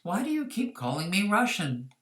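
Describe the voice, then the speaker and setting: A woman reads the part of a cunning, insistent swordsman in a storybook thriller. The lines are emphatic and a little raised in pitch, in a roomy, echoing space. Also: big pitch swings, normal speed, distant mic. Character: cool, cunning male swordsman hero of crime story.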